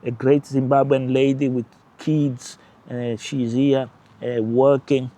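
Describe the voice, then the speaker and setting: A man with a Portuguese-speaking accent and Portuguese as his first language, hosting his own channel with emphatic, animated political commentary and brief laughs. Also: mid-range voice, slow. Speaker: channel host.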